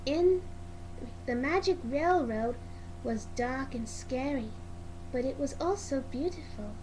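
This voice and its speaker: A woman reading a children's storybook aloud as narrator, in a slightly halting, amateur way. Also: sits high, animated, a little mellow. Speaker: read-along narrator. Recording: clearly noisy amateur recording.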